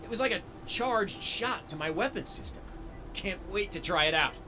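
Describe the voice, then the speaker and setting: A man's voice: high-pitched, expressive, moderate pace, over music. A man playing a modest, eager young robot soldier in a video game, earnest and wry. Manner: earnest, wry, self-deprecating.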